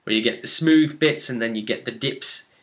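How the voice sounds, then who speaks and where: A man's voice: medium pitch, moderate pace, fairly bright tone. A man recounting a tabletop role-playing session as game master, informal and rambling, with descriptive detail and dramatic emphasis on key words.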